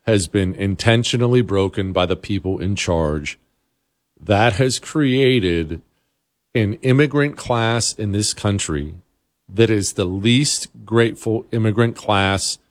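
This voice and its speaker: A man hosting a political commentary show, delivering an opinionated monologue in a scornful, sarcastic manner. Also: lowish voice. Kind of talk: opinionated monologue commentary over played clips.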